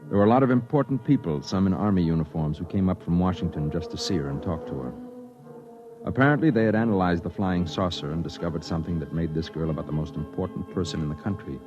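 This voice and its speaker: A man's voice: deep voice, fast, lo-fi sound, close to an everyday voice. An actor playing a newspaper reporter who narrates his story in a 1950s radio drama. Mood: matter-of-fact. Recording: 1950s radio broadcast with music.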